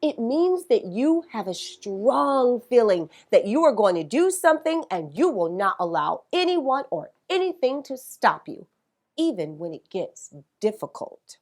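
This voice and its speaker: Woman, children's storytime host, very animated intonation, unhurried pace, teacherly, dramatic emphasis. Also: fairly high pitch. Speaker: children's read-aloud host and narrator. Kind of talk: expressive picture-book read-aloud for children.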